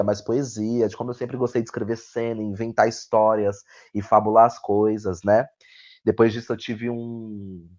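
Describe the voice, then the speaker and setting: Brazilian guy, lowish voice, thoughtful halting interview answers, kinda muffled lo-fi audio. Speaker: guest playwright and actor. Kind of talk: conversational interview answers about own work.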